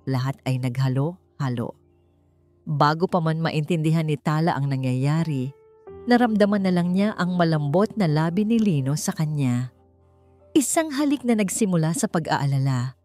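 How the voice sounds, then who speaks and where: A woman narrates a romance drama in a slightly low register. Her pitch moves expressively through the scripted prose, with faint music playing behind her. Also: a little mellow. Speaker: story narrator.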